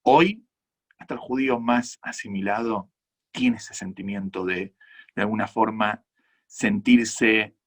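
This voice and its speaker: A man with an Argentine accent, a rabbi giving earnest, measured answers to audience questions at a conference talk. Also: fairly low pitch. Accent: Argentine Spanish. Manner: earnest, measured, reflective explanations.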